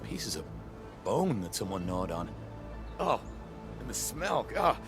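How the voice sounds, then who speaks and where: An actor playing a gruff, stubborn ex-military patrol guard in a video game, blunt and emphatic. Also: male voice, lowish voice, big pitch swings, slow, heavily acted. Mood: uneasy. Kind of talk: dramatic video game cutscene acting. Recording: music behind the voice.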